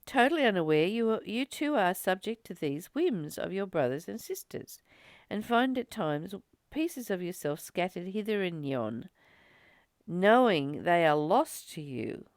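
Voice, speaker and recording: A woman's voice: lowish voice, a little slow, no echo, clean studio sound. A woman giving a reflective, conversational spiritual talk, stressing points through repetition. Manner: expressive, conversational, emphatic repetition. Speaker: spiritual study host.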